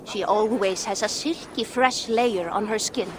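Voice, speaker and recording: An actress playing a dryly savage, cautious informant in a video game, speaking deliberately. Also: female voice, medium pitch, background noise, natural voice. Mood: wry, cautioning. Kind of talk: video game cutscene acting.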